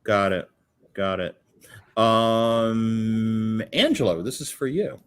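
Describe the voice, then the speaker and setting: A man's voice: on the low side, expressive, slow, a little hiss or hum. A man moderating a panel in a casual, affirming way, reading out audience questions. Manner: casual, affirming, repeats "for sure".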